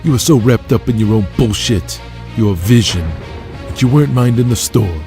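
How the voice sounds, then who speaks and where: A man voicing an older, hot-tempered schemer in an audio drama, forceful and defiant. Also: medium pitch, lively intonation, normal speed, faint music behind, natural voice. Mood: angry, scornful.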